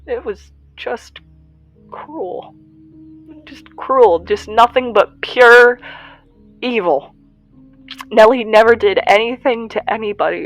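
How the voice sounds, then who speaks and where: Woman acting a slow, halting young radio survivor. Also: mid-range voice, low-quality recording. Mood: anguished, tearful. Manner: halting, confiding, emotionally raw. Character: young female survivor and pirate radio broadcaster, grieving, defiant. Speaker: narrator of an audio drama. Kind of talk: podcast-style audio drama monologue.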